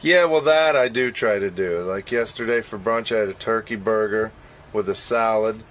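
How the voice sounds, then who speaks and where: A man's voice: lowish voice, moderate pace, a bit bright. A man chatting casually on a radio show, rambling and making self-deprecating admissions. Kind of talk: casual on-air chat.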